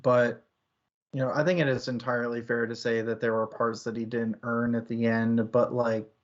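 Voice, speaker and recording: A man's voice: mid-range voice, flat delivery, normal speed, highs cut off. A man hosting a casual book discussion, talking in a rambling, opinionated, conversational way. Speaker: book club podcast host.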